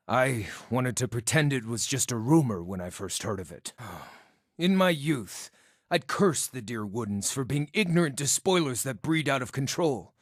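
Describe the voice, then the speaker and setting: An actor voicing a small, scarred outcast druid in a video game, wry, cheeky and theatrical, with a wordy way of talking. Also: male voice, medium pitch, expressive, measured pace, dry recording, clean studio sound. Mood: earnest. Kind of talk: video game character dialogue.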